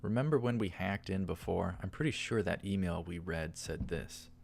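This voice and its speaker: A man's voice: low voice, moderate pace, vocal fry, a little mellow, a little hiss or hum. A man voicing an uneasy young male hacker friend from a visual novel in a plain, casual read.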